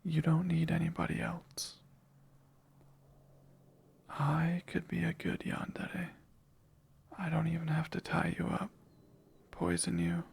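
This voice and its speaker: A man whispering as a gravelly possessive sleep demon. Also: a little high, completely flat delivery, exaggerated voice. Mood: possessive, menacing. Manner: hushed close whispering, slow and teasing. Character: possessive male sleep paralysis demon, cruel and clingy. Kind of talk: ASMR roleplay.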